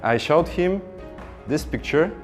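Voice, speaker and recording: A man's voice: on the high side, slow pace, soft background music. Man telling a motivational story. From Ukraine. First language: Ukrainian. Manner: animated, acts out dialogue. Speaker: speaker.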